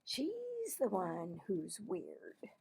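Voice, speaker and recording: A woman's voice: mid-range voice, wildly swooping pitch, drawn-out words, vocal fry, dark tone, slightly rough, full character voice. A woman voicing a defensive young schoolboy from a storybook, protesting with indignant emphasis.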